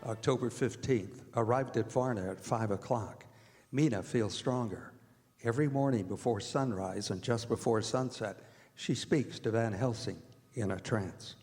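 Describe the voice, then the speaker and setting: A man playing an earnest diarist who narrates a staged radio drama in a measured, grave way. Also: fairly low pitch, a little mellow, natural voice. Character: earnest male diarist reporting on a vampire hunt. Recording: reverberant hall, music behind.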